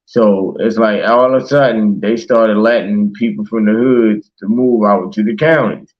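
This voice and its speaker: A man's voice: on the low side, fairly even intonation, normal speed, highs cut off. A man in casual, passionate conversation as a talk show host, speaking emphatically with slang and swearing. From Baltimore, United States.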